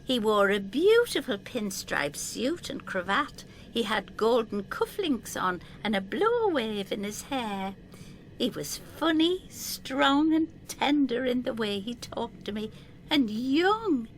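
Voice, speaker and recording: A woman's voice: on the high side, big pitch swings, slow pace, slight background noise, heavily acted. An actress playing a vague, spaced-out woman in her seventies, wistful and deluded, in an audio drama. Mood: dreamy, proud.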